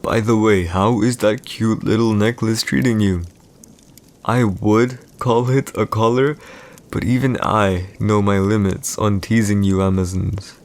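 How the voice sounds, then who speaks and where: A man performs an arrogant villain emperor in an intimate audio roleplay. His voice sits low with a heavy, gravelly creak. He speaks formally and theatrically to one listener, smug and teasing, with hurt pride underneath. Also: steady tone, unhurried. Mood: menacing, cool. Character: arrogant villainous young male emperor, teasing and secretly wounded.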